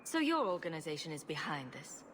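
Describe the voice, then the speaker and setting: An actress voicing a confident, sarcastic rogue adventurer in a video game, cool and commanding. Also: female voice, low-pitched, very animated, fairly quick, a bit bright, a little hiss or hum. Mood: questioning. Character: confident, wry young female rogue adventurer. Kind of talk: video game cutscene acting.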